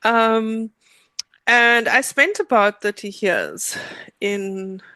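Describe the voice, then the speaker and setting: A woman's voice: on the high side, very slow, bright, forceful tone, no room sound. A middle-aged woman with a South African accent, telling her own story conversationally as an interview guest.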